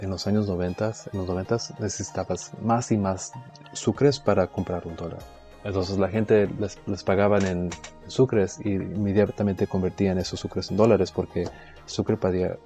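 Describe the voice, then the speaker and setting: Hesitant low-voiced man teaching history. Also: dark tone. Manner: hesitant, halting, frequent restarts and self-corrections. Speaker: presenter of an educational video series. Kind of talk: informal history lesson. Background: faint background music.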